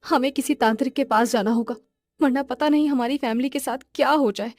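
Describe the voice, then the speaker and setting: A woman playing a worried young girlfriend in an animated horror story, speaking in an urgent, insistent way. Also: high voice, a little fast, dry recording, clean studio sound. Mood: alarmed, urgent.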